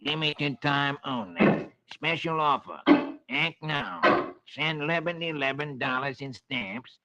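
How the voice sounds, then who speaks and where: A man's voice: a little high, slow. A man voicing a dim, scheming male buzzard who drawls and stumbles over "uh". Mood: drawling. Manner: hesitant drawl full of "uh". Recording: dull old radio recording with faint hiss.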